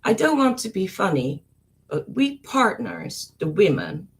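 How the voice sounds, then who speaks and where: A woman's voice: mid-range voice, very animated, unhurried, creaky. A woman with a Dutch accent chatting on a live stream in a mid-range voice. She teases, giggles and speaks her mind plainly. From Netherlands. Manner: teasing, giggly, outspoken. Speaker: co-host, behind-the-scenes organiser. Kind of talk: casual live-stream chat.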